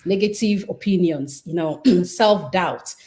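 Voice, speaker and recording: A woman from Ghana hosting a live online panel discussion, speaking in a measured way with occasional fillers. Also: fairly low pitch, dark tone. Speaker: host.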